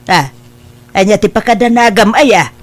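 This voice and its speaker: A woman's voice: mid-range voice, normal speed, lightly acted. A woman playing a fond, fretful older mother in an Ilocano audio drama. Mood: fond, concerned.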